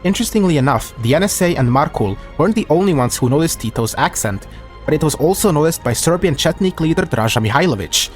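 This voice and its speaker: A man's voice: fairly high pitch, animated. Man narrating history over faint music. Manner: measured, reads document quotes aloud.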